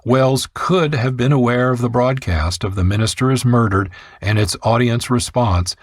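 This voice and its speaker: A man narrating a scripted radio documentary in a measured, formal way. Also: mid-range voice, no echo, clean studio sound.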